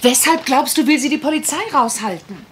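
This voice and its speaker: A woman's voice: high-pitched, expressive, a little fast, background noise. A woman acting a shrewd, decisive, suspicious detective in a German radio crime drama, speaking with emphatic assurance.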